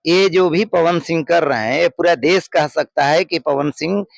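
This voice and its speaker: A man's voice: a little high, moderate pace, gravelly, no room sound. A local man giving his opinion in a street interview, earnest, emphatic and repetitive.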